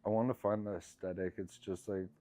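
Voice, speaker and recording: Deep-voiced man talking haltingly. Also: steady tone, mellow. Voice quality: low voice. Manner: halting, many fillers and trailing thoughts. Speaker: musician guest. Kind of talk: casual interview.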